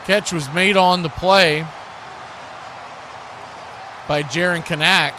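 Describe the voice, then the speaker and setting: A man with a high, bright voice calls live football for a stream. He moves between play-by-play and casual chat with folksy turns of phrase. There is some noise behind his voice. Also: steady tone, slow.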